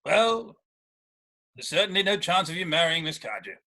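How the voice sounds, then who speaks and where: A man acting an earnest, exasperated young gentleman in a Victorian stage comedy, speaking formally and with indignant emphasis. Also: fairly high pitch, sing-song, unhurried, exaggerated voice, rough recording. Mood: anguished.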